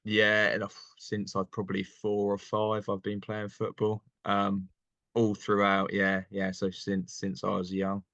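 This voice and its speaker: A young man from England chatting casually as an interview guest, relaxed and laughing often. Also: fairly low pitch, a little slow, vocal fry, no echo, highs cut off. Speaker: guest, online seller.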